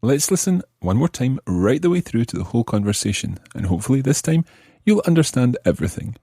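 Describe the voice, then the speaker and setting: A man hosting a language lesson, explaining phrases in a measured way and repeating them for learners. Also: mid-range voice, big pitch swings, warm tone, husky, no echo, clean studio sound. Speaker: language teacher and podcast host. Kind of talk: lesson explanation, switching between English and French.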